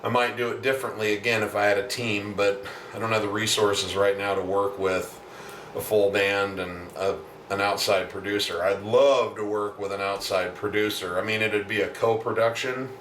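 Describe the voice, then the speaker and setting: Deep voiced musician guy rambling about his process, bit far from the mic, echoey room. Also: steady tone, moderate pace, fairly bright tone. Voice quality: deep natural voice. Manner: rambling, explanatory, with many asides. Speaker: singer-songwriter and self-producing musician. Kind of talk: casual video diary explanation. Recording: roomy, distant mic, faint background noise.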